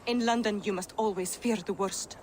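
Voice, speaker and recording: A woman's voice: medium pitch, fairly even intonation, moderate pace, noisy background, lightly acted. A woman playing an anxious, earthy Viking-age mother in a video game cutscene, fretful and full of feeling. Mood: worried, fretful. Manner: fretful, emotive.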